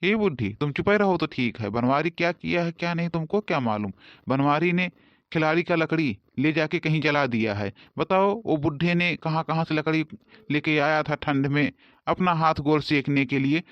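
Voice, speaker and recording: A man's voice: a little high, moderate pace, dry recording, muffled recording, lightly acted. A man voicing a bossy, complaining village police officer who blusters but is easily cowed, in a comic cartoon.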